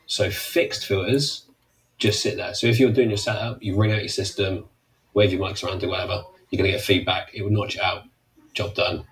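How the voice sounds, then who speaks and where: A man giving a casual product demonstration as a specialist, explaining informally and fluently. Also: fairly low pitch. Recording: distant microphone.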